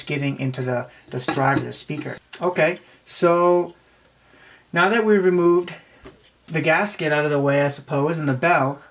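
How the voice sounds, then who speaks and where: Presenter, mid pitch, explaining stuff hands-on, thinking out loud, some background noise and clicks. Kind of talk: casual hands-on demonstration commentary.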